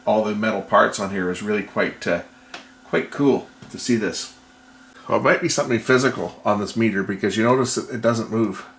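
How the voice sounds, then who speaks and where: A man giving casual hands-on repair commentary, thinking aloud in a tentative, unhurried way. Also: fairly low pitch, vocal fry, a bit bright. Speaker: repair vlogger. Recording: noticeable background noise.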